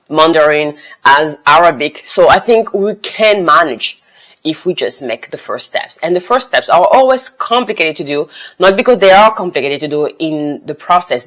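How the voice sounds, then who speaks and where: A woman with a French accent, a native French speaker giving casual, emphatic language-learning advice to the camera. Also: sits low. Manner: switches between English, French and Italian, emphatic and encouraging. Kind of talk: casual talking-head advice.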